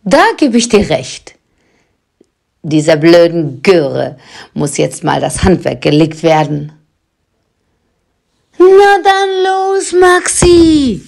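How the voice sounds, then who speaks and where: A woman's voice: lowish voice, very animated, takes it slowly, gravelly, pauses often, darkish tone, heavily acted. A sassy wicked witch who is also a grandmother. The delivery is drawn out and swooping, full of sardonic drawl, as in a radio play. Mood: impatient, scheming. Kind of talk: German audio drama acting.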